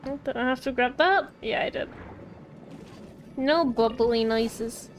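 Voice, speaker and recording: A woman game streamer giving casual, halting commentary, musing aloud as she plays. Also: a little high, slight background noise. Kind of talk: casual live gameplay commentary.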